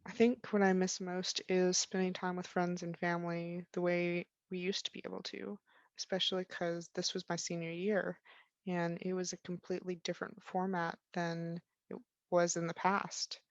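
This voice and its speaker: A woman in her twenties speaking in a measured, articulate way, as a student giving a reflective interview. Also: lowish voice, flat delivery, no room sound, muffled recording. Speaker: university student.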